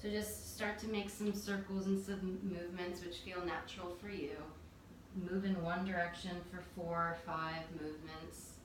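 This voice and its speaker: A woman teaching a yoga class, calmly guiding breath and movement step by step. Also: on the low side, normal speed. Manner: calm, gentle cueing of breath and movement. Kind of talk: guided yoga instruction. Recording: very echoey room, distant mic, faint noise.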